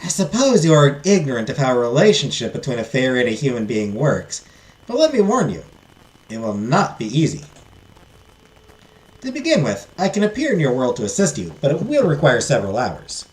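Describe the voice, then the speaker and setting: A woman voicing a grand, teasing fairy godmother in a storybook, with a Southern US accent and measured, formal delivery. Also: very deep voice, sing-song, a little mellow, reverberant, poor audio. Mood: firm, cautioning. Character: fairy godmother, benevolent, grand, teasing supernatural female spirit. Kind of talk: storybook character voice.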